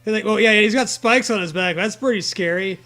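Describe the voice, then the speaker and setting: A man with a high, bright voice riffs casually beside music. His delivery is playful and crude, slipping into silly little acted quips. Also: a little fast. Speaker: co-host of a riffing show. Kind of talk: casual joking banter. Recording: music behind the voice.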